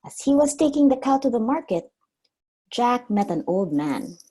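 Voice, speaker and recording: A woman reading a storybook aloud like a preschool teacher, expressive and simply worded for small children. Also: on the high side, normal speed, breathy edge.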